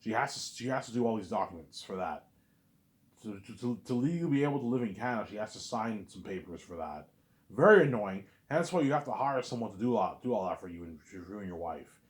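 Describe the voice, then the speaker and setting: A man's voice: on the low side, lively intonation, quick pace. Man rambling with lots of restarts. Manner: repetitive, restarts words often; blunt, conversational, asks "you know what I'm saying". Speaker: vlogger. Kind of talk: casual rambling monologue.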